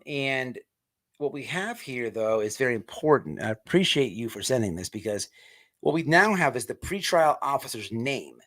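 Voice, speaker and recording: A man hosting an online show with off-the-cuff livestream commentary, halting as he repeats words and restarts. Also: mid-range voice, fairly bright tone.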